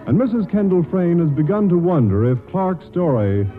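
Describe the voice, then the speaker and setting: Radio drama narrator, man, deliberate, lively pitch, tinny with music.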